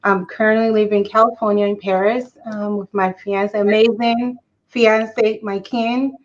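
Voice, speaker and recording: A woman's voice: mid-range voice, level tone, a little slow. Woman, talk show guest, bubbly, laughs easily, dull lo-fi sound. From Ghana. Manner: bubbly, laughs easily, trails into soft asides.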